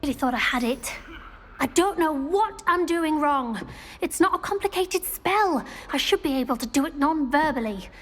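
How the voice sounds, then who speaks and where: A woman's voice: high voice, expressive, moderate pace, fairly bright tone, slight background noise. An actress playing an earnest, studious teenage witch in a video game, well-spoken with a British accent. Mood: frustrated. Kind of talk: scripted video game dialogue.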